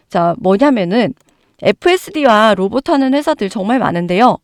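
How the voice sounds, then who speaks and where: A woman giving emphatic stock market commentary to her viewers, hoarse from a cold. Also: medium pitch, no room sound, clean studio sound. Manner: emphatic, addresses viewers directly, rhetorical questions.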